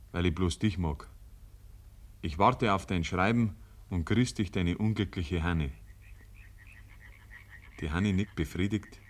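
A man with a Bavarian accent narrating a 1950s radio play in measured, rustic storytelling. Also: deep voice, lightly acted. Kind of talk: scripted literary radio narration. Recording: noisy old 1950s radio recording.

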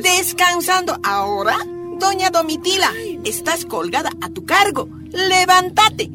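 A woman's voice: extremely high pitch, sing-song, a little slow, exaggerated voice. An actress playing a bossy, demanding mining-camp woman in a radio drama. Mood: insistent. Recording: music behind.

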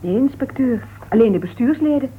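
A woman's voice: a little high, animated, a little fast, lightly acted. A woman playing an anxious young woman under suspicion in a radio play, defensive and edgy. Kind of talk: Dutch radio play acting. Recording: noisy, narrow old radio recording.

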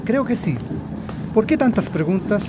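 A man's voice: sits high, expressive, moderate pace. An actor playing an easygoing working-class Chilean husband and father in a radio drama. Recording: clear background noise.

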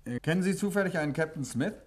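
An actor playing a calm, courteous amateur detective who asks probing questions in a 1950s radio play. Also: male voice, a little high, fast talker, natural voice. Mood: courteous, attentive. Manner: composed, polite, questioning. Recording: muffled-free vintage 1950s radio recording.

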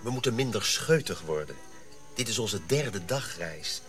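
Low, bright-voiced earnest colonial officer. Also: male voice, animated, unhurried, soft background music. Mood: concerned. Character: dutiful, questioning Dutch colonial officer on expedition. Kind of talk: Dutch radio drama acting.

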